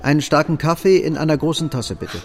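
A man's voice: on the high side, brisk, a little mellow, faint music behind, natural voice. An actor playing a weary, resolute middle-aged man in a German radio drama. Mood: subdued, guarded.

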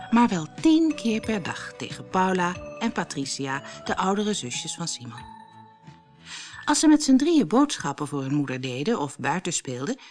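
A woman narrating a scripted children's story in an expressive storytelling manner. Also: on the low side. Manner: expressive storytelling, acts out dialogue. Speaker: audiobook narrator.

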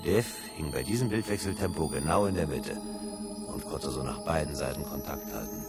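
An actor playing the first-person narrator of a science fiction radio play, in measured, deliberate scripted narration. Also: male voice, a bass voice, expressive. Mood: measured, intent. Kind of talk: scripted narration, 1970s German radio drama. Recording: electronic music under the voice. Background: electronic music.

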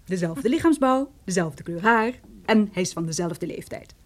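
A woman's voice: mid-range voice, expressive, normal speed, natural voice. An actress in a Dutch radio play playing a helpful professional woman with a polite, businesslike manner. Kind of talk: Dutch radio drama acting.

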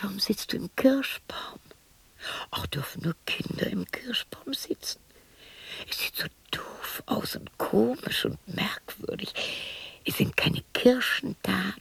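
An actress playing a lonely, eccentric widow of sixty in a radio play, muttering to herself in a dramatic, erratic, defiant way. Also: female voice, fairly high pitch, dry recording, exaggerated voice. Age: 60s. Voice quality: breathy, raspy. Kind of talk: radio play monologue acting.

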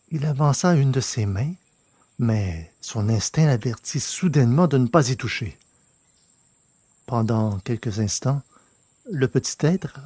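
A man with a slightly husky voice reading scripted fiction as an audiobook narrator. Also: mid-range voice, darkish tone, dry recording.

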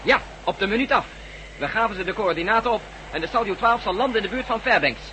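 Radio-play operator, brisk, unflappable, rapid delivery on a noisy recording. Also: male voice, sits high, expressive, bright, forceful tone. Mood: reporting. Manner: brisk, matter-of-fact. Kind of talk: Dutch radio drama acting.

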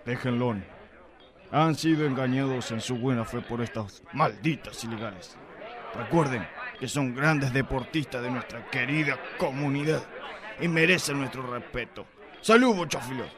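An actor playing a pompous, lecherous middle-aged police inspector in satirical radio theatre, with an Argentine accent and theatrical delivery. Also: male voice, medium pitch, moderate pace, crowd noise, close to an everyday voice. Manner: theatrical mock-heroic declaiming.

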